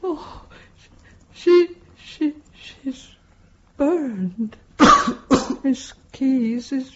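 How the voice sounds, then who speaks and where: A woman's voice: high-pitched, lively intonation, very slow, heavily acted. An actress playing a horrified servant woman in a radio drama, gasping, stammering and crying. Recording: muffled audio, loud background noise.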